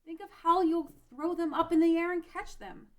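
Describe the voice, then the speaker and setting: A woman's voice: extremely high pitch, fairly even intonation, normal speed, a little mellow. A woman playing an earnest, tender-hearted young ranch girl, emotive, in an amateur fantasy drama read. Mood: encouraging. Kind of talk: amateur table read of a game-based drama.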